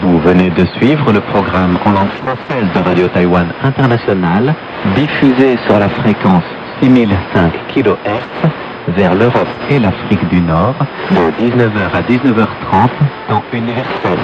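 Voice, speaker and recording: Low-voiced male announcer through loud shortwave noise. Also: moderate pace, highs cut off. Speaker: radio station announcer. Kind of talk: scripted sign-off announcement.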